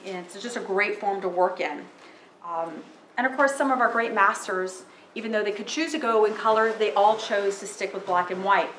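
Loud, chatty woman in an echoey room. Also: mid-range voice, normal speed, slight background noise. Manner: loud, chatty, with many fillers. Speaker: photographer giving a talk. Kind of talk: casual public talk. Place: library meeting room, indoors. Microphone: handheld microphone through PA.

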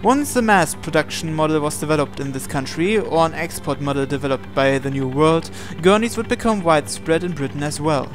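A man's voice: a little high, expressive, brisk, hardly any pauses, over music. A man reading visual novel narration aloud, sometimes stumbling over and repeating words as he goes. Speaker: video game player reading aloud.

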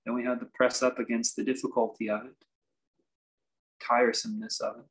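A man teaching a guided meditation, speaking in measured, halting phrases with reflective pauses. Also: medium pitch, little pitch movement, low-quality recording. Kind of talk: guided meditation, unscripted instruction.

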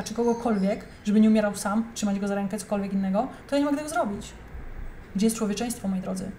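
Young woman, mellow-toned, fired-up commentator addressing her audience over light background hiss. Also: mid-range voice. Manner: indignant, emphatic, addresses viewers directly. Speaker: commentator reacting to a video. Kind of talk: heated reaction commentary.

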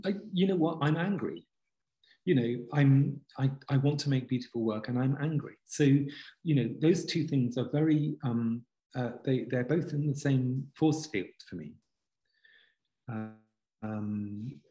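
A man's voice: mid-range voice, dark tone, low-quality recording. Earnest man on a video call. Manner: halting, many restarts and fillers, earnest. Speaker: guest author. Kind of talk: reflective conversational interview. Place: home study with bookshelves. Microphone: video call webcam.

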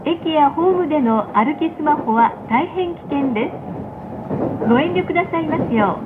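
High voiced lady doing polite train announcements, very careful words, loud rail rumble behind. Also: animated, moderate pace. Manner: formal, polite, carefully enunciated. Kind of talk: scripted recorded onboard announcement. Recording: tinny muffled playback, loud train noise. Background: rumbling train carriage.

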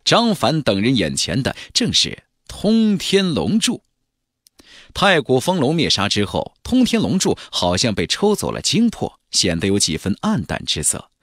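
A man narrating a fantasy audiobook in a measured, theatrical storytelling delivery. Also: medium pitch, wildly swooping pitch, fairly bright tone, dry recording, clean studio sound. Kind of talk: dramatic scripted narration of a fantasy novel.

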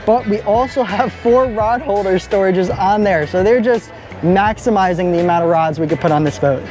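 A young man filming a walkaround boat review at arm's length in a large indoor boat show hall, talking with steady enthusiasm. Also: high voice, moderate pace, faint music behind. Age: young adult. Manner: enthusiastic, upbeat. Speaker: boat vlogger. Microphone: handheld camera at arm's length.

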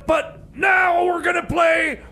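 A man playing a flustered radio DJ in comedy radio theater, stammering and rambling in a raspy, creaky, high voice. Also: fairly even intonation, takes it slowly, pushed and bright, raised voice, a faint crowd, exaggerated voice. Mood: exasperated. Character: Jeb, flustered male radio DJ. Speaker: radio host.

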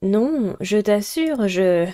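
A woman's voice: mid-range voice, lively intonation, drawn-out words, warm tone. A woman voicing a curious, hesitant new schoolgirl, the heroine of a visual novel game.

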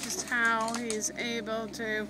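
A woman talks casually while filming outdoors with a phone, her voice fairly high and her delivery very slow and drawn out. She fills her commentary with "um" and "you know". A digger's engine rumbles clearly behind her. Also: completely flat delivery. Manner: chatty, many fillers and "you know". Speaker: homeowner filming renovation. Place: outdoors at a building site. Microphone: phone camera held by the narrator. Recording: outdoor phone video with loud machinery. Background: digger engine noise.

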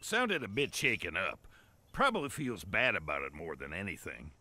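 Gruff, fatherly older police car, animated game; expressive range, close dry sound. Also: low-pitched, moderate pace, dry recording, full character voice. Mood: concerned. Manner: folksy, stern. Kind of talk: animated video game acting.

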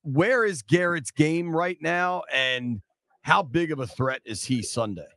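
A man's voice: fairly high pitch, lively intonation, a little slow, bright, forceful tone, no room sound. Middle-aged male sports radio host, dry studio. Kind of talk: casual sports radio interview. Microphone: studio boom mic with headphones.

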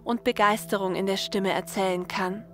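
A woman's voice: mid-range voice, steady tone, moderate pace. A woman reading scripted narration for a science-fiction audio story. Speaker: narrator and writer. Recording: music under the voice. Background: music.

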